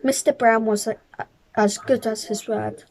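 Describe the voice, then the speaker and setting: Unhurried woman reading a children's story aloud. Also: on the high side, steady tone, creaky, a little mellow. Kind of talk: children's picture book read-aloud.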